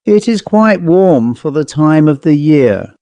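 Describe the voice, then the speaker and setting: Man playing a mellow, nervous gentleman visitor. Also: a little high, lively intonation, slow, dry recording. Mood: hesitant, polite.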